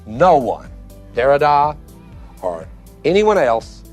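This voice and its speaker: A man lectures informally in a medium-pitched voice. He is emphatic and wry, driving each point home like a philosophy teacher. There is faint music behind him.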